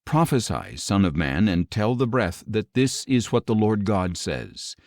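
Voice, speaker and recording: Man reading as a commanding, low-voiced God. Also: sing-song, darkish tone, no echo, studio quality. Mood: commanding, solemn. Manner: grave, declamatory, measured. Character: commanding, solemn male God speaking prophecy. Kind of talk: audio Bible scripture reading.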